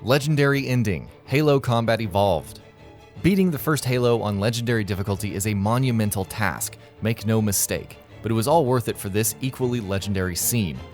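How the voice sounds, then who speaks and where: A man's voice: on the low side, fairly quick, soft background music. A man reading scripted narration for a video game list.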